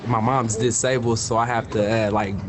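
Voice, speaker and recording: A young man speaks softly and hesitantly in a documentary interview. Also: medium pitch, flat delivery, moderate pace. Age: young adult. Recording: loud noise, distant mic.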